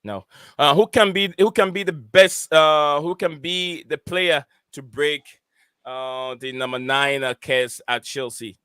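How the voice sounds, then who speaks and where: Man, stream host, bright tone, smooth voice, hesitant delivery with fillers. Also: on the high side, fairly even intonation, a little slow, dry recording, studio quality. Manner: hesitant, lots of uh and um, reads out chat comments. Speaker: host of a football podcast stream. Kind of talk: casual live-stream chat.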